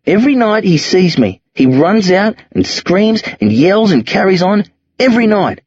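A man voicing a tough, streetwise teenage boy who talks low and rough in slang on a dull, lo-fi recording. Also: big pitch swings, normal speed, darkish tone, no echo, full character voice. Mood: brooding. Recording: dull lo-fi audio.

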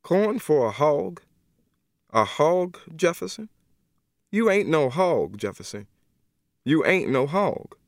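A heavy, grieving old woman from the American South, put on by a man reading aloud, pleads in a high, drawn-out voice. Her words swing widely in pitch and stretch long with sorrow. The sound is close and dry. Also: sing-song, no room sound, exaggerated voice. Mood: scolding, pleading. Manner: slow, drawn-out, tearful pleading.

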